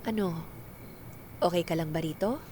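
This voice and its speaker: A woman's voice: on the low side, moderate pace, warm tone, slight background noise, lightly acted. A woman acting a caring, reassuring middle-aged family friend in a Filipino radio drama. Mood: chatty. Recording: background music under the voice.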